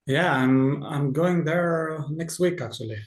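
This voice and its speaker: A man's voice: on the high side, slow, echoey room. A man from Greece with a Greek accent, a guest in a casual video-call interview, talking hesitantly with many fillers.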